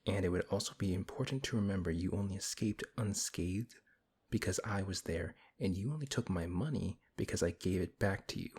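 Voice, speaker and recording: Man acting as a deep, husky, stern paladin. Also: darkish tone. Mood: stern, skeptical. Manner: stern, measured, dryly skeptical. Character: big strong male paladin, principled, wary, reluctantly helpful. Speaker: audio roleplay performer. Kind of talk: ASMR audio roleplay acting.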